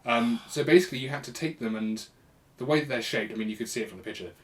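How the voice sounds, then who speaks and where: A man's voice: mid-range voice, fast. A man hosting a casual chat with a friend, explaining things in a loose, rambling way. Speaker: podcast host. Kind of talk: casual retro gaming chat between friends.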